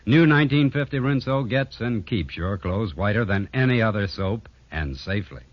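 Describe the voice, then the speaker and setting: Male 1950s ad announcer: deepish, animated, emphatic, dull dry old-radio sound. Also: moderate pace, no room sound. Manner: emphatic sales delivery. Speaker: commercial announcer. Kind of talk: 1950s radio advertising read.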